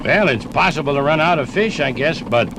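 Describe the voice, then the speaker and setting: Male 1950s radio sports narrator, animated delivery, slightly high voice, scripted storytelling. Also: brisk, noisy background.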